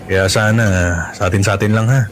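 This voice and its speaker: Weary, low-voiced Filipino working father, acted by a man. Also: steady tone, a little fast, a little hiss or hum, close to an everyday voice. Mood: resigned. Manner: confiding, casual, resigned. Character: burdened male coworker, weary father hiding troubles. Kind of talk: Filipino radio drama acting, conversational. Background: background music.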